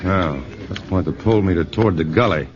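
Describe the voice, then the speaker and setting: A man's voice: low voice, fast, over a noisy crowd, natural voice. A man playing a modest, bashful physicist. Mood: modest, easygoing. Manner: shy, self-deprecating, laughs lightly. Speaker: scientist. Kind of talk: 1950s radio drama acting. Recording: muffled vintage radio broadcast.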